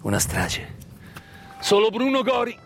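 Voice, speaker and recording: A man performing a dramatic theatrical monologue, intense and emphatic, with repeated words and pauses. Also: high voice, huge pitch swings, fairly bright tone, slight background noise. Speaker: stage actor-narrator. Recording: live stage performance with music. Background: live music.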